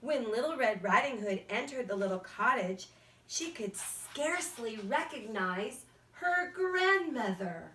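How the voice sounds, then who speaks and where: Slow, high, bright woman storyteller. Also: expressive. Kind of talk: scripted narration of a children's fairy tale. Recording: echoey room, distant from mic.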